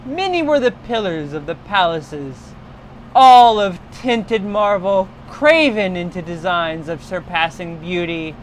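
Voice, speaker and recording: A woman reading a literary horror story aloud in a solemn, deliberate, dramatic storytelling style. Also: lowish voice, lively intonation, slight background noise, low-quality recording.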